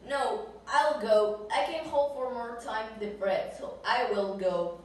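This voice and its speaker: A woman acting a caring sea creature in an amateur puppet show, with halting, non-native English phrasing. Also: a little high. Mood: urgent. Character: caring, alarmed sea creature friend in oil spill. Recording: echoey room, distant mic, noisy.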